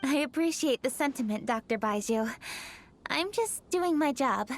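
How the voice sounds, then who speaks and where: Poised, higher-voiced young official. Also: female voice, lively intonation, moderate pace, soft background music. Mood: polite, modest. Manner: poised, earnest. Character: composed, dutiful young noblewoman official. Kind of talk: video game voice acting.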